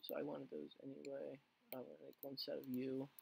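A man with a hoarse voice he says he is losing, giving rambling casual let's play commentary full of fillers and restarts. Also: mid-range voice, completely flat delivery, a little fast, very noisy. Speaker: gaming channel host.

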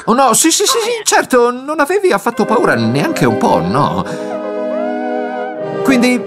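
A woman's voice: a little high, very animated, a little slow. A woman narrating a children's cartoon, expressively telling the story and speaking directly to the characters and young viewers. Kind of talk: animated scripted storytelling to children. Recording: music bed under the voice. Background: cartoon music.

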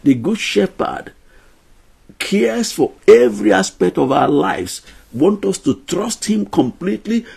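A man's voice: sits high, very animated, a little slow, vocal fry, darkish tone, slightly rough. An older man teaching a Bible lesson to camera in a small room at home, earnest and emphatic, counting out his numbered points.

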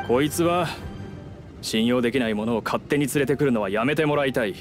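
A man's voice: medium pitch, brisk, over music, natural voice. A man voicing a stern, guarded masked agent in a Japanese video game, speaking curtly and formally. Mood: suspicious. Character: stern, suspicious masked foreign agent guarding secret plans.

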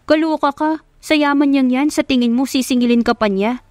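Woman acting young female best friend, Filipino accent, high pitch, excitable, teasing, slangy, audio drama, dry sound. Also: a little fast, no room sound, close to an everyday voice. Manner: exclamatory, slangy, pestering.

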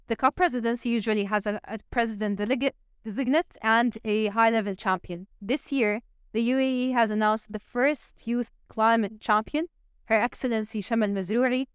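A young woman moderating a live panel on stage with a handheld mic, engaging the audience with quick polls. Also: a little high, smooth, dry recording, studio quality. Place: stage in a large event hall.

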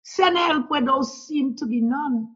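A woman's voice: high-pitched, unhurried. A woman leading a fervent spoken prayer, pleading and repeating calls to God and the Lord between phrases. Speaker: prayer leader. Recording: dull lo-fi recording.

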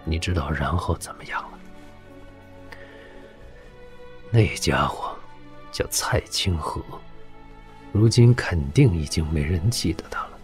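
A low, weary old warlord. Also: male voice, expressive, faint music behind. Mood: weary, reminiscing. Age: older. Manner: slow, earnest fatherly counsel. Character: weary, dying old warlord father, blunt and tender. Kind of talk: audio drama acting.

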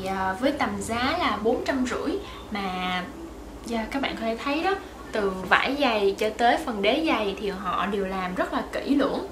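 A woman's voice: a little high, roomy sound, background noise. A young woman vlogging to the camera in a small bedroom, chatting in a friendly way with many fillers. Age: young adult. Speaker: vlogger, shoe painter. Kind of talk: casual vlog talk to camera.

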